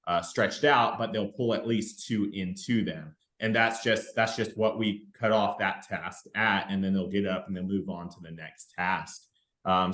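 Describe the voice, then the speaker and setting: Low-voiced man in an echoey room. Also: fairly bright tone. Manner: rambling, repeats words and checks in with "right?". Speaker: podcast host and fire academy fitness instructor. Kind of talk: informal instructional podcast discussion. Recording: roomy, echoey sound.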